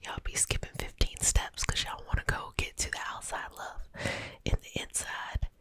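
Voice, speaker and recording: A woman's voice: normal speed, a bit bright. A young adult woman whispering an ASMR guided healing session, affectionate and chatty, calling listeners babies. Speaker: energy healing teacher.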